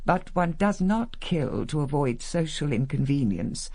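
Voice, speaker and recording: Haughty English matriarch, low and swooping. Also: female voice, very animated, unhurried, creaky, darkish tone, no room sound, exaggerated voice. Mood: cool disdain. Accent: British English. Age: middle-aged. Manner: imperious, dry, sardonic. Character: haughty upper-class English matriarch, coolly disapproving. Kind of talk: radio drama acting.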